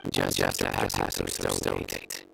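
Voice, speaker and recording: A man voicing a solemn young adventurer in an anime-style game, deadpan, deliberate and pedantic, earnest even about bad jokes. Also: close to an everyday voice, rough recording. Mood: serious. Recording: faint music behind.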